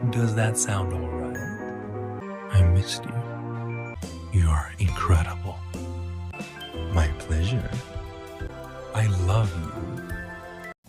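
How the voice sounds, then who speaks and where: An actor playing a polite, formal, devoted suitor with a courteous, measured delivery. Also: male voice, sits low. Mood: courteous, tender. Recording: loud music under the voice.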